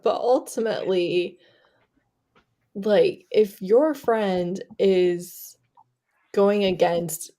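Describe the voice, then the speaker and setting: A woman's voice: fairly low pitch, vocal fry, darkish tone. A woman co-hosting a casual chat, giving her opinions in an emphatic, informal way. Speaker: book vlogger and co-host.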